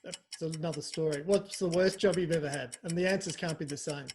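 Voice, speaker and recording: A man hosting a quick-fire comic interview in a teasing, mock-formal, playful way. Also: fairly high pitch, steady tone, background noise. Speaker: interview host.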